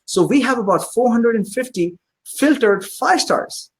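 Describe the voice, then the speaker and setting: A man who owns a pizzeria, telling stories as a guest in a casual interview, animated and full of fillers. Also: very high-pitched, a little slow, breathy edge. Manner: animated storyteller, many fillers.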